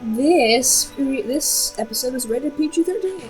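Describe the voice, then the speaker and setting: A woman's voice: high voice, lively intonation, slow, faint music behind. A woman co-hosting, chatting casually in short interjections. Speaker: co-host.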